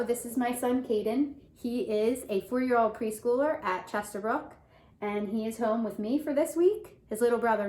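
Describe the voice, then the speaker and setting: A woman with a medium-pitched voice reads a picture book to young children. She speaks as a teacher does, asking questions and explaining words along the way. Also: darkish tone. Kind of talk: children's story read-aloud with questions.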